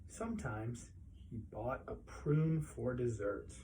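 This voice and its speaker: Mellow-voiced man reading a picture book, background noise. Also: medium pitch, slow, rough recording. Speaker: read-aloud storyteller.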